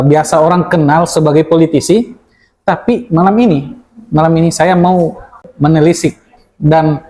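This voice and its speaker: A man's voice: on the high side, darkish tone. A man hosting a casual interview podcast, talking eagerly with many fillers. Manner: chatty, eager, with frequent fillers. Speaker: podcast host. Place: cafe.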